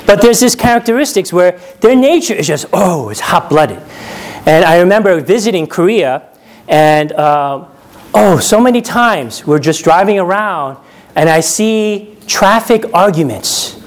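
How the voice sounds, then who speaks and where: Man, from New York, preacher, casual sermon, fairly high voice, keeps asking "right?", laughs at his own stories. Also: animated, a little slow. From New York, USA. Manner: chatty, often checks in with "right?". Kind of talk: conversational sermon.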